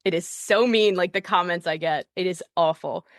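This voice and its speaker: A woman's voice: fairly low pitch, moderate pace, no room sound, clean studio sound. A young adult woman from the United States, talking candidly as a guest in a casual interview, with frequent fillers. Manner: candid, animated, many "like" fillers. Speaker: guest, priestess and author.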